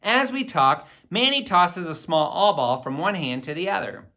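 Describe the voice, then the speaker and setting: A man narrates a children's audiobook with boyish expression. His voice is bright and forward, moving freely up and down as he tells the story in the first person. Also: high voice, lively intonation, normal speed, vocal fry. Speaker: audiobook reader.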